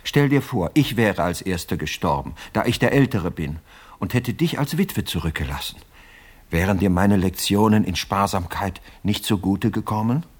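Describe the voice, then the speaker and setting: An actor playing a pompous, miserly older widower in a radio play, self-justifying and fussily indignant, with a husky voice. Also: male voice, lowish voice, normal speed. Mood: pleading, self-justifying.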